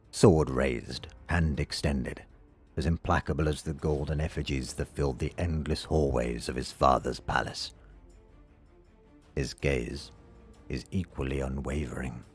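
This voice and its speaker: Male narrator for dark fiction: bottomless low register, slow measured pacing, grave and dramatic. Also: breathy edge. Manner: solemn, measured delivery. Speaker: audiobook narrator. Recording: music under the voice. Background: background music.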